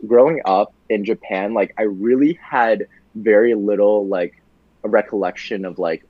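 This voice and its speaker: A young man, a podcast guest, talking casually about his childhood, relaxed and full of fillers, laughing easily. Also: fairly low pitch, dry recording, highs cut off. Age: young adult. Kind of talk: casual conversational storytelling.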